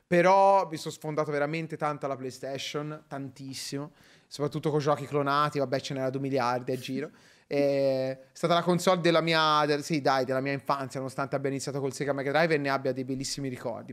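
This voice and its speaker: A man's voice: medium pitch, steady tone, fast, a bit bright. A man hosting a casual group chat, speaking in hesitant, trailing asides. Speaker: host of a group live stream. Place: indoor room at home, group video call.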